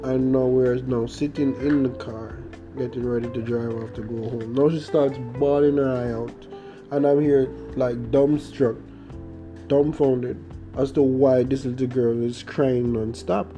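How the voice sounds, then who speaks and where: A man's voice: medium pitch, steady tone, measured pace. A young Jamaican man with a Jamaican accent, hosting his own podcast and telling a story in a rambling, hesitant way. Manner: rambling, hesitant, many fillers and restarts. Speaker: podcast host. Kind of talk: casual storytelling monologue. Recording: guitar music playing behind.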